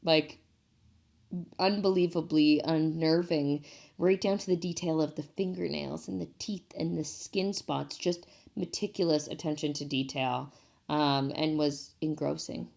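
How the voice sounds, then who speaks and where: Lowish woman w heavy vocal fry giving an emphatic film review. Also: steady tone, unhurried, darkish tone. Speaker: film festival audience member. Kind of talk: casual spoken film review.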